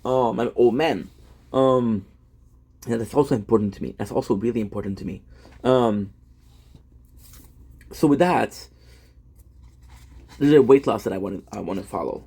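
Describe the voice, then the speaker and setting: A man's voice: mid-range voice, normal speed, pauses often, mellow, slightly rough. A man in his thirties, a gaming content creator, talking in a rambling, self-deprecating monologue full of fillers and restarts. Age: 30s.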